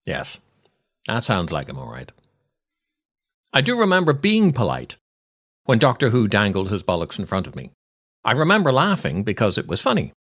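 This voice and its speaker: A man, reading a scripted comic monologue in a wry, theatrical way with deliberate pauses. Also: mid-range voice, a bit bright. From Ireland. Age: 60s. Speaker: podcast host and storyteller.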